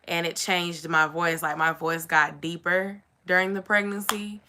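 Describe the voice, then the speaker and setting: A young woman with a somewhat raspy voice, chatting casually to her viewers and saying "y'all" and "like" a lot. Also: on the low side, little pitch movement, unhurried, pushed and bright, slight background noise. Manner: chatty, says "y'all" and "like" often. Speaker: vlogger. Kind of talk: casual chat to camera.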